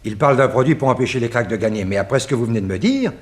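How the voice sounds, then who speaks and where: A man's voice: mid-range voice, animated, close to an everyday voice. Police chief for radio serial male actor: earnest, concerned, hesitant and factual, medium voice. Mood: earnest, confiding. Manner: deliberate, hesitant, matter-of-fact. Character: earnest, worried New York police chief. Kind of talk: 1960s French radio drama acting.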